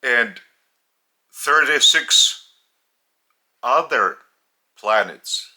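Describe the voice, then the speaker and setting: Slow, halting Lithuanian-accented man. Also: medium pitch, lively intonation, pushed and bright. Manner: deliberate, halting, with long pauses. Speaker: spiritual teacher. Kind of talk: slow spiritual teaching.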